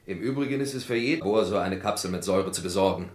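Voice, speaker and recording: An actor playing a guarded, resentful accused man in a German radio crime play, confiding in measured, hesitant phrases. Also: sits low, roomy sound, natural voice. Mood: uneasy. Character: guarded, resentful male accused glass technician confiding in lawyer.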